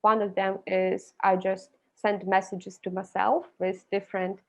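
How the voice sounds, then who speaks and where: A woman's voice: mid-range voice, flat delivery, unhurried, vocal fry. A young woman with a Ukrainian accent, a guest expert on a video call interview, explaining her approach with light laughs mid-sentence. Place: indoor room with a large plant. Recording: slightly dull video call audio.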